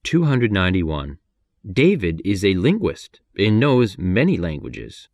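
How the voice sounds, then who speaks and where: American male reader for ESL drills, low voice, lively delivery, dry close mic. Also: no echo. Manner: clear, measured reading. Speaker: English lesson reader. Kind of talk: numbered sentence reading for repetition practice.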